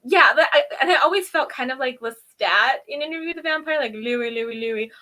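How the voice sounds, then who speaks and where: A woman with a high, very bright voice talks casually as a review co-host. Her delivery is informal and giggly, peppered with exasperated asides. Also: expressive, a little fast. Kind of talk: casual chat, recapping and critiquing a TV episode.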